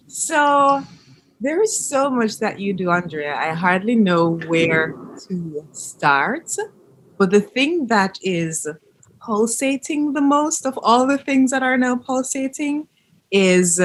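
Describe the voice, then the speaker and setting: A woman's voice: medium pitch, animated, takes it slowly, rough recording. A woman hosting a podcast, asking questions in an effusive, giggly way. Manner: warm, effusive, giggly interviewer. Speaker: podcast host. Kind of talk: casual interview chat.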